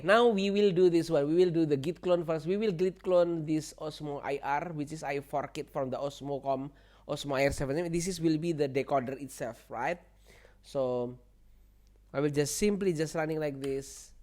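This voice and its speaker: Higher-voiced man giving a tech tutorial. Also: a little mellow. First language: not English. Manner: repetitive, seeks agreement with "okay" and "right". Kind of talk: informal spoken software walkthrough.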